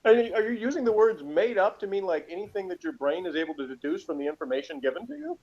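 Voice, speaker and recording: A man's voice: high-pitched, big pitch swings, quick pace, lo-fi sound. A man giving a casual, conversational TV review, explaining and arguing his points at length. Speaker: podcast reviewer.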